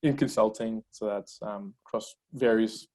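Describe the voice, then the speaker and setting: A man's voice: on the low side, normal speed. A man in his thirties, born in Colombia and a Spanish speaker, telling his life story as a guest in a casual interview. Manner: energetic storyteller, many fillers.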